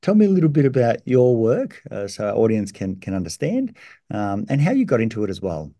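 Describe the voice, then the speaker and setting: A man's voice: on the low side, huge pitch swings, a little fast, warm tone, no echo. A man hosting a podcast, asking interview questions over a video call, hesitant with many fillers and repeated words. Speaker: podcast host. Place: indoor room.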